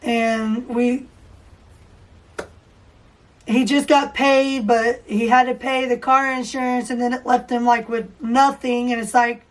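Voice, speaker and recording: A hoarse woman hosting a casual podcast, rambling with fillers and restarts. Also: on the high side, level tone, slow. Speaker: podcast host. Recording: voice distant from the mic.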